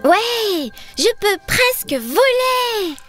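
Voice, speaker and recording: A woman voicing an excitable, curious little girl in a children's cartoon, with an expressive, exclaiming delivery. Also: extremely high pitch, very slow, faint music behind, heavily acted. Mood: wide-eyed excitement. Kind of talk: animated children's cartoon dialogue.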